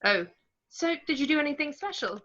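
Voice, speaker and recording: Chatty friend: very bright, eager, chirpy woman reader at a table read, slightly muffled lo-fi sound. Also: high-pitched, animated, fairly quick. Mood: chirpy, helpful. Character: chatty, helpful theatre-loving woman friend, a teacher. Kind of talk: informal table read of a film script.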